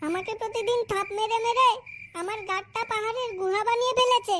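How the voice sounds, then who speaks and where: A woman's voice: very high voice, fairly even intonation, fairly quick, fairly bright tone. A woman voicing a plaintive, blunt, crude married woman in a comic cartoon. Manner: whiny, complaining, crude.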